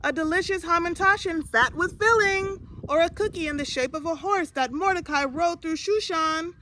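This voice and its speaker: A woman's voice: extremely high pitch, moderate pace, pushed and bright, a little hiss or hum, voice pushed up. A woman voicing an emphatic, proud village baker mother from a children's storybook. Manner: emphatic, exclaiming. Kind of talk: storybook character voice.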